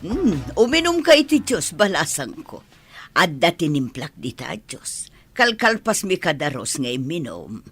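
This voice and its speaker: A woman plays a greedy, sly mother in a comedy radio drama. She talks slowly with an Ilocano accent, her rather low voice swinging up and down. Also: sing-song, fairly bright tone, slightly rough, soft background music, exaggerated voice. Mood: falsely sweet. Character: greedy, sly mother figure fond of mahjong gambling.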